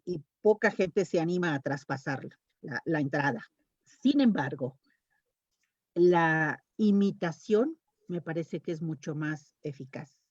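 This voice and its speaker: Woman on a panel, really slow and measured, reflective, dry muffled lo-fi audio. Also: fairly low pitch, darkish tone, no echo. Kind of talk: reflective opinion in panel discussion. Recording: dull lo-fi video call audio.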